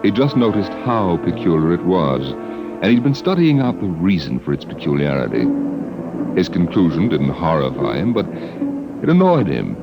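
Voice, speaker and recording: A man's voice: very deep voice, poor audio. A man narrating an old radio drama as announcer in an ominous, theatrical way. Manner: ominous, theatrical, sweeping delivery. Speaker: show announcer.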